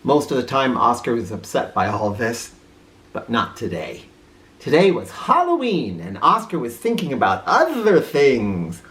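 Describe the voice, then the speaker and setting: Low-voiced, very animated woman storyteller. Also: a little slow. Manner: theatrical, with informal asides to listeners. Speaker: read-aloud storyteller. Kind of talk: expressive children's story narration.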